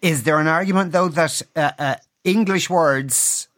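A male radio host asks loose, halting questions in a friendly interview. His voice sits a little higher than most men's. He laughs easily between questions. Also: fairly bright tone.

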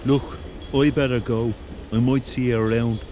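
An actor playing the laid-back ghost of a rock star, laconic and dryly teasing, in a radio drama. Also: male voice, mid-range voice, fairly even intonation, normal speed, warm tone, slight background noise, natural voice.

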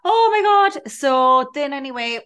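A young Irish woman from Cork, talking in a high, quick voice. She tells her stories with gusto and acts out every line of dialogue. Casual podcast chat between friends. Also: smooth. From Ireland. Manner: animated storyteller, acts out dialogue. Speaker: podcast co-host.

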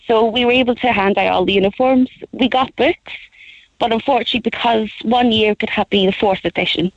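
A woman's voice: no room sound, highs cut off. Belfast woman calling in, emphatic. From Northern Ireland. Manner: lively, emphatic, pitch jumps up when stressing points. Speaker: caller, back-to-school campaign organiser. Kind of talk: phone-in radio chat.

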